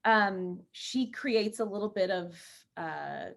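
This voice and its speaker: A woman, an actress, chatting informally and a little haltingly about her work on a panel. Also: mid-range voice, expressive, vocal fry. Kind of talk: casual panel talk about a role.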